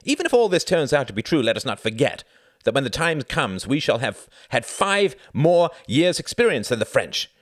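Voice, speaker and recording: A man voicing a brash, hot-tempered, boastful German fighter pilot in an audiobook, forceful and menacing. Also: medium pitch, expressive, a little fast, no echo, studio quality. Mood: angry. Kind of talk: acted audiobook dialogue.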